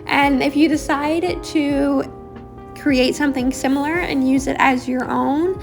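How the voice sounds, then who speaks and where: Raspy, rambling young woman vlogger. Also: sits high, fairly even intonation, measured pace, over music. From Australia. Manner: rambling, chatty, many fillers. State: raspy, harsh throat. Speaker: bullet journal vlogger. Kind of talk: casual voice-over while drawing.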